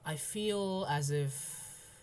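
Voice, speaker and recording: Slow, halting young man. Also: on the high side. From Indonesia. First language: Indonesian. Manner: hesitant, halting, full of ums and restarts; soft, searching, introspective. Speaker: college freshman. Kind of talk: personal venting monologue.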